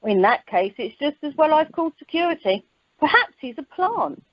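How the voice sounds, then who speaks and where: A woman's voice: high voice, very animated, moderate pace, no room sound. Airport clerk, officious and a bit scatty, woman performer; amateur radio comedy on a dry, dull phone line. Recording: muffled, dry telephone conference line.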